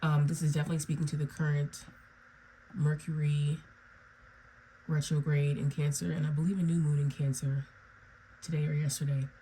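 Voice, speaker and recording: Woman, tarot reader, low and mellow tone, slightly raspy from a cold, rambling delivery with frequent "okay?", faint hiss behind. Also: flat delivery, normal speed, pauses often, low-quality recording. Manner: meandering, repeats "okay?" and "right?". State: under the weather, raspy. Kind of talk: solo tarot reading to viewers.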